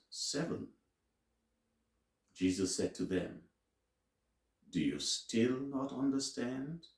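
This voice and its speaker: A man reading scripture aloud as a teacher, in a solemn, deliberate and emphatic way with dramatic pauses. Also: medium pitch, darkish tone. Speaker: Bible reader and teacher. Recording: roomy, echoing sound.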